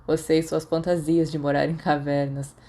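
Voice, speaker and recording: A Brazilian woman voicing a weary, gruff, sardonic teenage schoolboy in an audiobook story. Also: deep voice, level tone, moderate pace, dark tone. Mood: teasing. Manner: blunt, reluctant.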